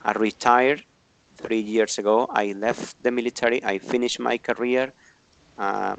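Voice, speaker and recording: A man in his forties with a Colombian accent, a native Spanish speaker, introducing himself to his English class as their teacher in a measured, careful way with pauses. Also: on the low side, a bit bright, smooth, dry recording, low-quality recording.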